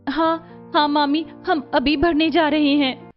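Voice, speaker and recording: A woman's voice: high-pitched, fairly even intonation, a little slow, highs cut off, soft background music. A woman voicing a caring, earnest little sister in a family cartoon, girlish and protective. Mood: concerned, earnest. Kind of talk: animated family story dialogue.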